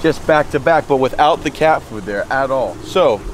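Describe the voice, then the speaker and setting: A young man hosting a fishing channel, chatting casually to viewers outdoors and getting excited as he fishes. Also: medium pitch, moderate pace, slight background noise, rough recording. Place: outdoors by a lake and a pool.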